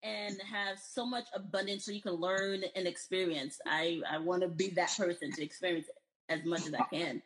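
A woman's voice: on the low side, steady tone, moderate pace, rough recording. An American woman, a guest in a casual interview, talking in an upbeat, chatty way. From United States. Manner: upbeat, chatty, often laughing.

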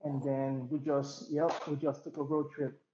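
Young haitian guy rambling easy with lots of ums, mellow voice, kinda muffled roomy call sound. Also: fairly high pitch, flat delivery, unhurried, a little hiss or hum. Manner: laid-back, rambling with many fillers and restarts. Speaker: DJ and interview guest. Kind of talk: casual interview over a call.

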